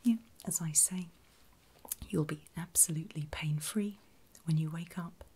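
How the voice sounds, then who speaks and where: A woman whispering in a breathy, gentle and reassuring way, unhurried, as a nurse in an ASMR medical roleplay. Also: deep voice. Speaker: ASMR performer playing a surgical nurse.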